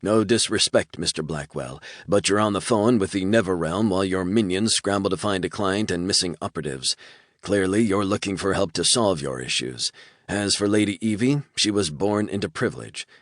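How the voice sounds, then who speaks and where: A man voicing a calm, formal male lich who lectures his boss politely. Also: deep voice, moderate pace, no room sound, studio quality, close to an everyday voice. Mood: cool.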